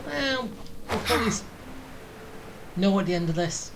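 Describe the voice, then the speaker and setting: A woman's voice: medium pitch, lively intonation, normal speed, vocal fry, background noise. A woman giving informal, rambling let's-play commentary as she plays a video game. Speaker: gaming streamer. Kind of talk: casual let's-play commentary, reacting to and reading game dialogue. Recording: game music behind the commentary.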